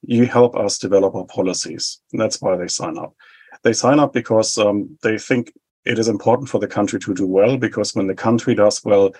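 A man in his forties from West Germany with a German accent, explaining public policy in measured, list-like answers as an interview guest. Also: fairly low pitch, darkish tone, breathy edge. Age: 40s. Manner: measured, explanatory, rhetorical lists. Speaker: think tank head, guest.

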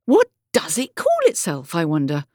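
A woman's voice: lowish voice, huge pitch swings, moderate pace, a little mellow, no echo, clean studio sound. A woman voicing a chatty, puzzled but determined storybook little girl who muses aloud in hesitant exclamations.

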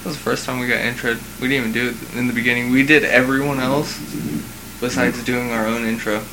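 A man's voice: medium pitch, flat delivery, fast. A man co-hosting a casual podcast, joking and teasing his partner. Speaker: podcast co-host. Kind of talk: casual chat. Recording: noisy home podcast recording.

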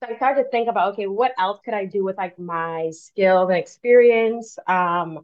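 A woman from the Bahamas, in her thirties, speaking as a career coach guest in a relaxed, thoughtful way with frequent fillers. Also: medium pitch, moderate pace. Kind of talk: casual interview talk. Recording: dull lo-fi sound.

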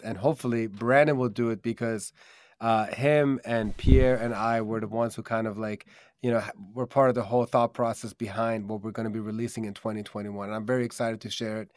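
A man's voice: on the low side, fairly even intonation, brisk, a little mellow, no echo, clean studio sound. A man born in Germany and raised in America, hosting a live stream in an upbeat, earnest way with many fillers, over a video call. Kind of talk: casual promotional live-stream talk.

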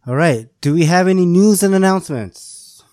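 A man's voice: high voice, lively intonation, moderate pace, mellow, dry recording, clean studio sound. A man hosting a casual podcast, chuckling and moving the talk along. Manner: chuckling, moves the show along. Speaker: podcast host. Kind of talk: casual chat.

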